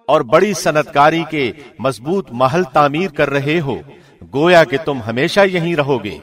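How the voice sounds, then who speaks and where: A man narrating a scripture translation in a formal, measured, solemn announcer's delivery. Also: a little high, lively intonation, fairly bright tone.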